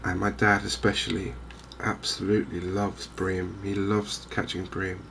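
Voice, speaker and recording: A soft-spoken man with an English accent giving unhurried, hesitant ASMR commentary over a video game. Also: deep voice, flat delivery, creaky, slight background noise. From England. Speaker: gaming video host.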